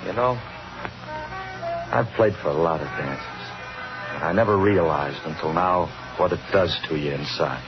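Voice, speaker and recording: An actor playing a cornered, fatalistic dance musician in a 1950s radio suspense drama, stammering and pleading in slangy talk. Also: male voice, deep voice, moderate pace, pauses often. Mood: wistful, bitter. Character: cornered, bitter male dance musician fearing a lynch mob. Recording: muffled old radio recording with music.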